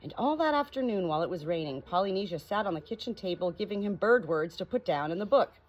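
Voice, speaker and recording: A woman narrating a children's audiobook in an expressive storybook reading style. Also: mid-range voice, fast, poor audio.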